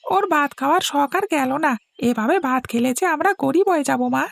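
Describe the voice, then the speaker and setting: A woman's voice: high-pitched, animated, brisk, vocal fry, no room sound, heavily acted. A woman voicing a spoiled, scheming young schoolboy in a cartoon, whiny and smug like a tattling mother's pet. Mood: sly, scheming. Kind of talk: Bengali cartoon voice acting.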